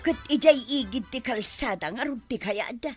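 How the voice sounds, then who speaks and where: A woman's voice: a little high, lively intonation, normal speed, over music, close to an everyday voice. A woman acting an insistent village character in a radio comedy drama.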